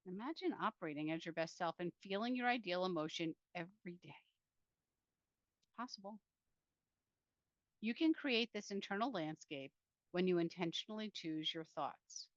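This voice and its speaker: A woman author presenting and reading from her self-help book in an earnest, deliberate way, speaking directly to the listener. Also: on the low side, expressive, no echo, muffled recording.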